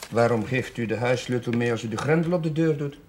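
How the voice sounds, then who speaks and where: An actor playing a plain-spoken, earnest village chaplain in a television period drama, stubborn but courteous. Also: male voice, mid-range voice, fast talker, darkish tone, close to an everyday voice. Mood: defensive. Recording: very noisy, roomy, distant television soundtrack.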